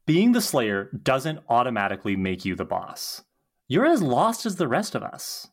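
A woman's voice: a bass voice, big pitch swings, moderate pace, heavily acted. A woman playing an eager, defiant young witch in a podcast script read, with an emphatic, dramatic delivery.

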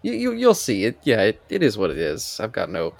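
A man's voice: lowish voice, huge pitch swings, a little fast, gravelly. A man chatting casually about films as a podcast co-host, with a hesitant, rambling delivery.

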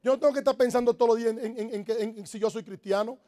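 A man with a strikingly high voice rattles through his motivational speech at a fast clip. He drives each point home by repeating it, pressing the crowd in an emphatic, preacher-like way. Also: fairly even intonation, dark tone. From Dominican Republic. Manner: emphatic, repetitive, preaching-like exhortation. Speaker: network marketing motivational speaker. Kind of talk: motivational talk to a live audience.

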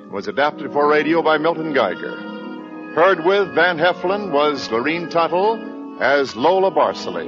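An American man announcing in polished, emphatic 1940s radio salesman style. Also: a little high. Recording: muffled old 1940s radio recording, music behind.

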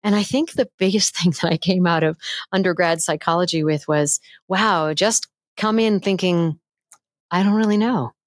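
A middle-aged woman voice coach chatting as a guest on a remote interview, animated and playful, demonstrating voice techniques. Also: moderate pace, no room sound, studio quality. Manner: animated and playful, demonstrates pitch changes with her own voice. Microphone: headphones with mic, remote call.

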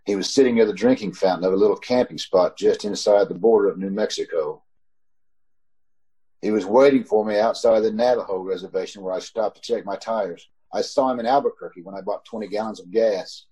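Man acting a haunted driver, husky and mellow. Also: on the low side, poor audio. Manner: dramatic, pleading, haunted. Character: desperate, haunted male driver narrating his terror. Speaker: actor in an old-time radio troupe. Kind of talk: radio suspense drama narration. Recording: amateur video call recording.

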